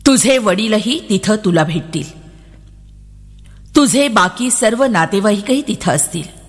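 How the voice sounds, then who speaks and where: A woman voicing a gentle male police officer who sweet-talks a child in measured speech, in a dramatic story. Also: mid-range voice, lively intonation, big-room sound. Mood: reassuring.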